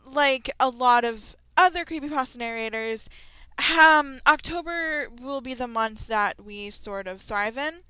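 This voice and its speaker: Girl narrator chatting to her viewers, crisp bright voice, excited and informal. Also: on the high side, no echo, rough recording. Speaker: creepypasta narrator and channel host. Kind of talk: casual chatty audio update to viewers.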